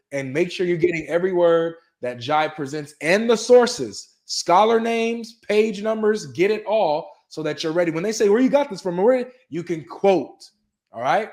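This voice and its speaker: A man's voice: on the high side, darkish tone. A man co-hosting a casual religious debate chat, emphatic and urging viewers on, often ending phrases with "man". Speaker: co-host.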